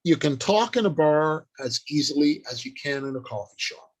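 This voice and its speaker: A man giving an instructional talk as a workshop speaker, deliberate and emphatic, speaking in short declarative phrases with pauses. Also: on the high side, animated.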